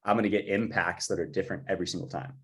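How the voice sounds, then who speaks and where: Husky low-voiced man in casual chat. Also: steady tone, racing. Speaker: music composer for audio fiction. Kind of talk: informal work chat.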